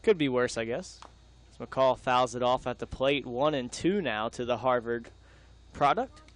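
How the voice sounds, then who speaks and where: A man's voice: mid-range voice, measured pace. A young man doing live baseball play-by-play, mixing the calls with casual banter. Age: young adult.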